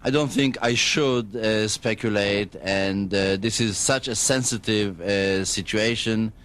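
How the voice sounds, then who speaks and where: A man with an Israeli accent speaking as an official in a radio interview, choosing his words carefully and haltingly. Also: on the low side, a bit bright, clean tone. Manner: measured, careful, hesitant diplomatic phrasing. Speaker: government spokesman, radio guest.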